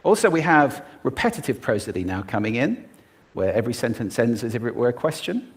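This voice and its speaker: Lively, expressive male speaker. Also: mid-range voice, fairly quick, husky. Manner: expressive, demonstrating vocal techniques. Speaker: public speaker. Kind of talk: prepared talk on stage.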